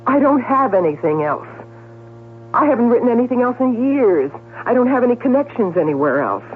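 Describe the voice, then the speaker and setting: A middle-aged female society columnist in a vintage radio drama. Her voice is high and swings widely in pitch, theatrical and gushing, with a vain, pleading edge. The sound is thin and narrow, like an old broadcast. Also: sing-song, brisk. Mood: hurt, pleading. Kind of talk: 1970s radio drama acting. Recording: tinny, noisy vintage radio broadcast with hum.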